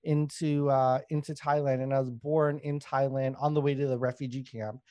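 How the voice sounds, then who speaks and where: A man's voice: medium pitch, level tone, moderate pace, warm tone, no echo, studio quality. A man in his thirties from Canada, a guest comedian talking candidly in a casual podcast chat, laughing and swearing as he goes. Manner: candid, laughing, swears freely, many fillers.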